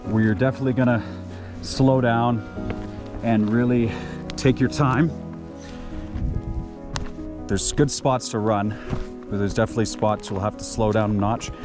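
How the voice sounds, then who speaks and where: A man with a naturally loud voice giving casual commentary outdoors on a mountain trail, relaxed, with many filler uhs. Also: mid-range voice. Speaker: trail running vlogger. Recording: music playing behind the voice.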